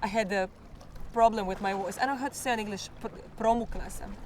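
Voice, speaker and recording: A teenage girl from Croatia, speaking English with a Croatian accent, answering interview questions about singing in a klapa. She sounds a little hoarse and often pauses to search for words. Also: medium pitch, steady tone, slight background noise. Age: teens. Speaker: klapa singer.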